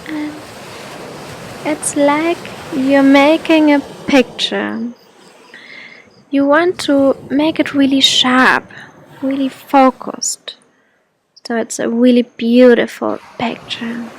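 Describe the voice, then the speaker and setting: A woman leading a guided meditation in a soft, gentle, soothing manner, leaving long pauses between phrases. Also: sits high, fairly even intonation, a little hiss or hum.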